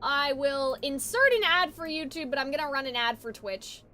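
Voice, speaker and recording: A woman's voice: high-pitched, moderate pace, bright, forceful tone, slight background noise. A woman live streamer chatting casually and reacting to a game in an informal, chatty way.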